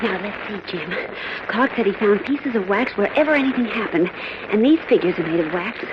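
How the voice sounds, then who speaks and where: Woman playing bold, animated no-nonsense lady reporter. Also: mid-range voice, lightly acted. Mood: brisk, dismissive. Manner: brisk, scolding. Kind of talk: 1940s radio drama acting. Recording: noisy muffled old radio recording.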